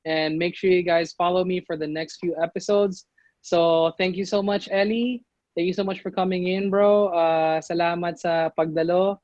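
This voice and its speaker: A man's voice: high voice, fairly even intonation, normal speed, darkish tone, dry recording, dull audio. A man with a Filipino accent hosting a casual online talk show, laughing often and calling his guest bro. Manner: casual, code-switches, says bro a lot, laughs often. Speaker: podcast host.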